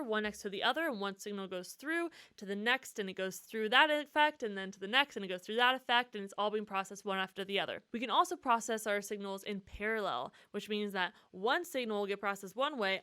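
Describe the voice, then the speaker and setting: A woman's voice: medium pitch, pushed and bright, clear, resonant tone. A woman giving a casual, enthusiastic walkthrough of music production in informal, slangy language, as a musician teaching. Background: music from the track being demonstrated.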